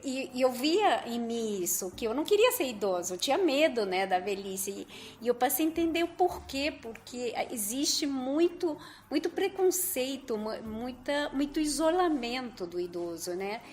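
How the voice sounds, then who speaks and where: A woman's voice: on the high side, lively intonation, normal speed, few pauses, darkish tone, a little hiss or hum. A woman from Colombia with a Colombian Spanish accent, telling her personal story to a group at a meeting, earnest and hesitant. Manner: earnest, with many hesitant fillers. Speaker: event participant.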